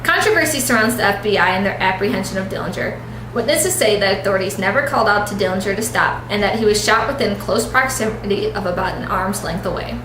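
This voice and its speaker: A woman's voice: medium pitch, fast talker. A woman reading a scripted report as a reporter in a mock 1930s newscast. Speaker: news reporter. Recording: echoey room with clear noise.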